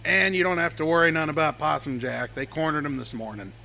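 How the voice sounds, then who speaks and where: An actor playing a brash, cheerful logging boss who teases and talks folksy in a radio drama. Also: male voice, a little high, animated, a little fast, creaky, a little hiss or hum, heavily acted. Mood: teasing. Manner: loud, folksy, teasing.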